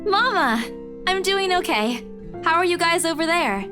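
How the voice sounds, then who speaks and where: A man's voice: very high voice, animated, a little slow, pitched above the performer's own voice. A man voicing an anxious, scatterbrained young woman from a visual novel as he reads it aloud. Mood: cheerful. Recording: game music behind the voice.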